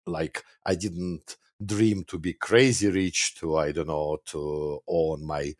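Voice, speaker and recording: Deep-voiced Russian-accented man, dry sound. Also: flat delivery, takes it slowly, no echo. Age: 40s. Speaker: guest. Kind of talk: casual podcast interview.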